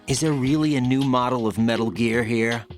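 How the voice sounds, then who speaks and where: An actor playing an earnest young special-forces rookie in a video game, serious and inquisitive. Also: male voice, medium pitch, moderate pace, over music, close to an everyday voice. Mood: puzzled, serious. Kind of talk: video game cutscene acting.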